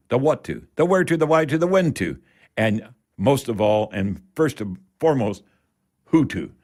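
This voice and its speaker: An older Canadian man hosting a radio show on home improvement. He talks in a folksy, unscripted way, wandering a little and repeating words to drive a point home. His voice sits at an ordinary male pitch. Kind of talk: folksy live radio talk with product tips.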